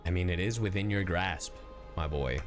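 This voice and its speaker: A man livestreaming a game, reacting casually and excitably in slangy exclamations. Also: low voice, moderate pace, over music. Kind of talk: casual live gameplay reaction.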